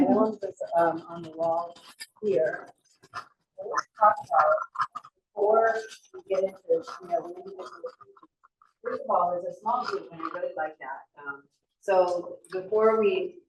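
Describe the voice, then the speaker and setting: A woman serving as an art show juror talks casually to a small group, filling her speech with fillers and encouragement. Her voice is somewhat low, and she is recorded from a distance. Faint noise sits behind her. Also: roomy sound, highs cut off. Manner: chatty, filler-heavy, encouraging. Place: gallery room.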